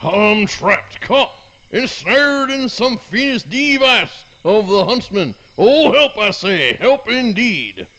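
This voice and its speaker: A man's voice: sits high, big pitch swings, slow, sharp tone, lo-fi sound, faint music behind, heavily acted. A man voicing an overeager, fawning hound dog who talks in florid, grandiose repetitions in a live radio play. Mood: panicked pleading. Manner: florid, grandiose, repeats himself.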